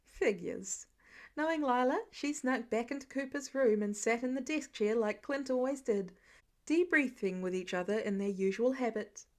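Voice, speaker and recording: A woman's voice: a little high, moderate pace. A woman reading scripted fiction aloud as a podfic narrator. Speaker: podfic reader.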